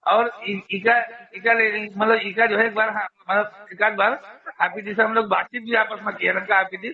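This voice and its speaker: A man's voice: sits high, level tone, normal speed. A man giving earnest, informal religious advice over the phone, often repeating phrases for emphasis. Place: phone call. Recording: tinny narrowband phone call recording.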